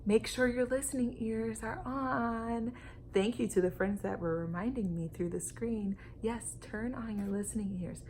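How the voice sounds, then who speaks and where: A woman reading a story aloud to children like a teacher, expressive and emphatic, with dramatic pauses and questions to her young listeners. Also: a little high, a little hiss or hum.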